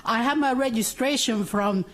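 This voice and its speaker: A woman's voice: a little high, normal speed. A woman from Puerto Rico giving informal testimony at a public hearing, telling it through anecdotes and quoted remarks. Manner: anecdotal, acts out remembered remarks. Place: city council chamber hearing.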